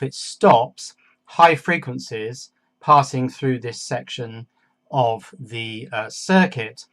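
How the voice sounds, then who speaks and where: A man's voice: medium pitch, a bit bright. A man giving a casual hands-on electronics demonstration, thinking aloud and talking to himself as he works. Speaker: electronics hobbyist presenter.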